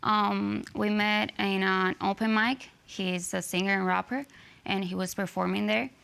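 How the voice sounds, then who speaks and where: Young woman, Cuban accent, native Spanish speaker, creaky mid-range voice, conversational testimony. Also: mid-range voice, little pitch movement, measured pace, fairly bright tone. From Cuba. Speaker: litigant on a TV court show. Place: TV studio courtroom.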